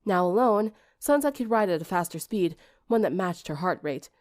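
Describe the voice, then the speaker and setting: A woman's voice: fairly low pitch, expressive, moderate pace, a little mellow, no room sound. Woman narrating a story, very dry sound. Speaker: audiobook narrator.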